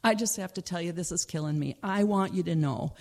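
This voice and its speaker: The storyteller voicing an earnest teenage girl who speaks softly and gently as she forgives her friends. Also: mid-range voice, a little fast, creaky, natural voice. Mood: gentle.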